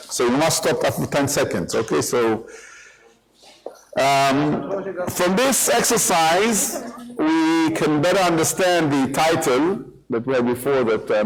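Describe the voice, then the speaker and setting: A man speaks in a slightly raised register and leads a live workshop group. His delivery is animated and loose, with frequent restarts, repetitions and joking asides. He sounds like a seasoned speaker addressing a room. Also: fairly high pitch, rough recording. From Israel. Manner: animated, repeats and rephrases himself, joking asides. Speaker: workshop speaker and hostage negotiator. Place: live workshop in front of an audience.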